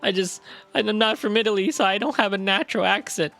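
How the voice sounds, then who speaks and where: A man's voice: high voice, fairly quick, vocal fry, bright, forceful tone, soft background music. A young man streaming a game, chatting in a playful, rambling, self-mocking way. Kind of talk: live-stream game reading and chat.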